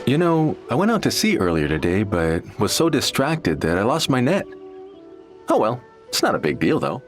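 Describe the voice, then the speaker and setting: A man voicing a cheerful fisherman who is afraid of rough seas, talking in a dreamy, relaxed way. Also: mid-range voice, moderate pace. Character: cheerful middle-aged fisherman, fearful of the sea. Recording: game audio with music underneath.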